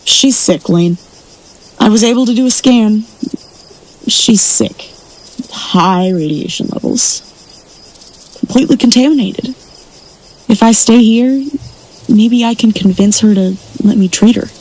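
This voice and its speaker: A woman playing a steadfast, compassionate rancher and healer in an audio drama, speaking in an earnest, measured way. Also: fairly high pitch, darkish tone, poor audio. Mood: earnest, tender.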